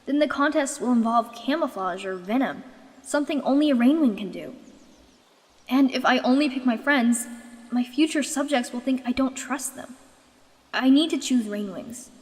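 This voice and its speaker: A woman's voice: sits high, lively intonation, normal speed. Woman as determined young dragon queen-hopeful, echoey hall. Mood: determined, wary. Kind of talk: fan dub voice acting.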